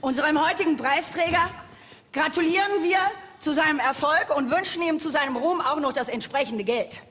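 A woman's voice: high voice, normal speed, a bit bright. A woman co-hosting an award show, delivering scripted banter from the stage. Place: large hall on stage. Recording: reverberant hall, audience, faint noise.

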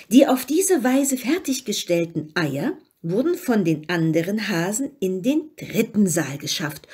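A woman reading a fairy tale as scripted narration, expressive and with dramatic emphasis. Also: medium pitch, breathy edge. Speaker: story reader.

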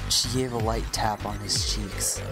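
A man's voice: lowish voice, steady tone, measured pace, creaky, over loud music. A man narrating a scripted story as the narrator of an audio drama.

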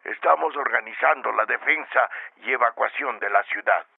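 An actor playing a grave defense minister addressing the public in a radio drama, his raspy voice distorted. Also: mid-range voice, moderate pace, dry recording, narrow, tinny audio. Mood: grave, urgent. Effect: voice distorted through a glass.

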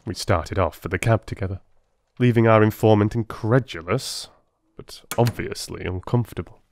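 A man's voice: fairly low pitch, moderate pace, creaky, darkish tone, a little hiss or hum. A man reading scripted narration for a dramatic audiobook in a theatrical storytelling style.

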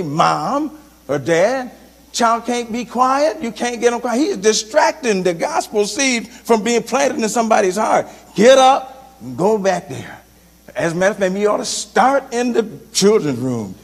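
Middle-aged pastor, high-pitched, animated sermon delivery in a big reverberant sanctuary. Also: male voice, normal speed, vocal fry. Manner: animated, swings from loud to soft, gestures while preaching. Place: large church sanctuary, indoors. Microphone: handheld microphone. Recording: long church reverb.

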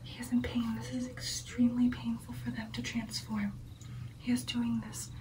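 A young woman voicing a devoted, earnest female vampire girlfriend in an intimate, breathy ASMR roleplay whisper. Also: on the high side, flat delivery, distant mic, background noise, lightly acted. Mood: grave, tense. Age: young adult. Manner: slow, deliberate, intimate, coaxing. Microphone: close ASMR microphone.

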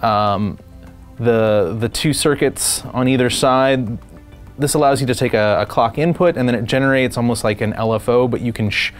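A man explaining technical gear in a conversational product demo, with halting, hesitant phrasing. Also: medium pitch, faint music behind. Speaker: product demonstrator and synth expert. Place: small studio room, indoors.

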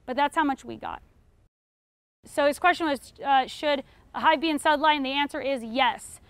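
High-pitched young American woman teaching a class. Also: a bit bright, no echo. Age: young adult. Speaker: beekeeping class instructor. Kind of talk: informal lecture answering audience questions. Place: retail store aisle in front of a seated audience.

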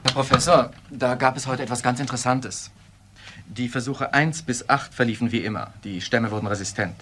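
An actor playing an eager, polite research scientist in a radio crime drama, with an earnest way of talking. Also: male voice, medium pitch, fairly even intonation, brisk, a bit bright, a little hiss or hum, natural voice. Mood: enthusiastic. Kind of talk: naturalistic German radio crime drama acting.

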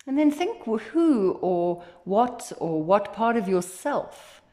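A woman leading a guided meditation as instructor, speaking in a gentle, soothing, unhurried way. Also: medium pitch, big pitch swings. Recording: echoey, roomy sound.

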